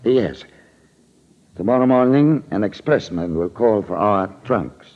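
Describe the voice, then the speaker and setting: An actor playing a nervous, scheming male con man in a 1940s radio drama, speaking with a halting, theatrical delivery on a muffled old recording. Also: lowish voice, animated. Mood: sinister, deliberate.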